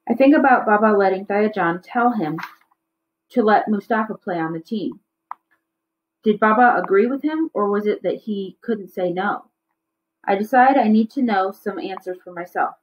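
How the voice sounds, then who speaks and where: Woman reading a kids chapter book out loud, normal pitch, bit dull recording. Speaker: music teacher reading a children's novel aloud. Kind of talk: read-aloud storybook narration.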